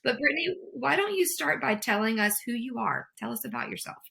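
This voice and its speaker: A woman's voice: a little high, big pitch swings, a little fast, vocal fry, crowd noise. A woman hosting a podcast, welcoming listeners and guests in an upbeat, encouraging way. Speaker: podcast host. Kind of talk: scripted intro then interview. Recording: intro music behind.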